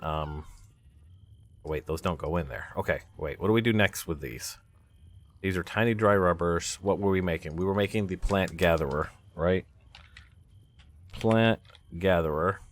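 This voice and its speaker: A man giving casual let's-play commentary as a gaming video host, thinking aloud and talking himself through each step. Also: sits low.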